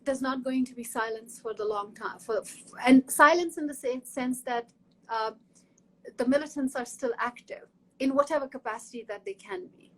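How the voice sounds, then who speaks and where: A woman's voice: high voice, normal speed, a bit bright, low-quality recording. A woman from Kashmir, speaking earnestly in a conversational interview, often restarting and repeating words. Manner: earnest, frequent restarts and repetitions. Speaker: guest academic in conversation.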